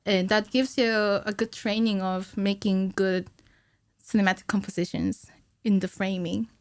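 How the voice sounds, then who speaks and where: A woman in her thirties explaining things informally and haltingly as a guest in a casual studio interview. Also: medium pitch, steady tone, a little mellow. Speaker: podcast guest, cinematic animator. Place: small podcast studio indoors. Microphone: studio microphone on stand, with headphones.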